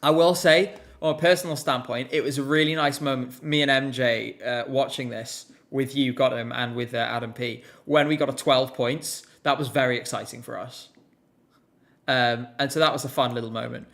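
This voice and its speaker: A man's voice: medium pitch, steady tone, moderate pace, gravelly, a bit bright. A man hosting a live stream, talking casually and commenting as he goes.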